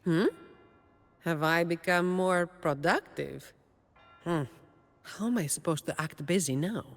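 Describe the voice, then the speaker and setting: A woman's voice: on the low side, measured pace, big-room sound. A woman voicing a dutiful, weary young woman guardian in a video game, dry and composed with a confident edge. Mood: wry, composed. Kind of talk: video game character voice lines.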